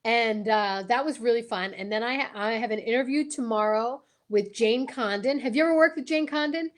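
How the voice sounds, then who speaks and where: A woman co-hosts a casual live show in a fairly high voice. Her delivery is excitable and emphatic, addressed to both her partner and the viewers. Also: brisk. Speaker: co-host of a live online variety show.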